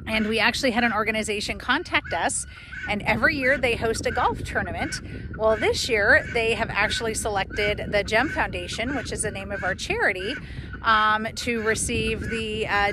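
A woman's voice: medium pitch, pushed and bright, slight background noise. A woman, chatting casually to a phone at arm's length on her farm, with plenty of ums and restarts. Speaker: homestead vlogger. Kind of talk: casual update to camera. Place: outdoors on a grassy farm. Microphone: phone held at arm's length.

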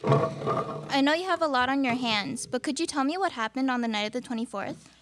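A woman's voice: sits high, fairly quick, natural voice. A young woman playing a determined detective in a student radio play, with a formal, polite manner. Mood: polite, inquiring. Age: young adult. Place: small indoor studio. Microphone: stand microphone.

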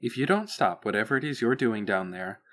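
A man's voice: fairly low pitch, animated, brisk, a little hiss or hum. Man voicing a sarcastic, menacing female machine overseer. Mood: harried threat. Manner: sharp, condescending, threatening.

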